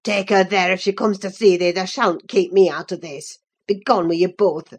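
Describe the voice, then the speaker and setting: A woman voicing a rough young man speaking in blunt, barely controlled rage. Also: fairly low pitch, steady tone, moderate pace, voice pushed down. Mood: furious. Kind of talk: audiobook character reading.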